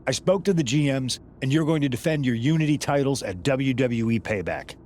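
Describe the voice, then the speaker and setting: A mid-pitched actor playing a male wrestling manager talks in an assured, matter-of-fact way in a video game cutscene. He comes across as a shrewd ally, with clear background noise. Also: lively intonation, brisk, darkish tone, natural voice. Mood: businesslike. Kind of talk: scripted game dialogue.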